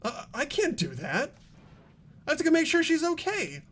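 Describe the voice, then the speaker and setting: Man acting anxious teenage boy, highly animated voice. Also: moderate pace, exaggerated voice. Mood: nervous, worried. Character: anxious, earnest teenage schoolboy hero narrating his ordeal. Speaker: narrator and player of a visual novel playthrough. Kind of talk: amateur voice acting, first-person narration.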